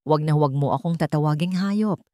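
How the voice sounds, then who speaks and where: A woman narrator plays a cruel husband in a dramatic audio story. The words land heavy and cutting, full of cold threat. The pitch sits a little low for a woman, and the sound is dry. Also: fast talker, mellow, no echo, lightly acted. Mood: seething.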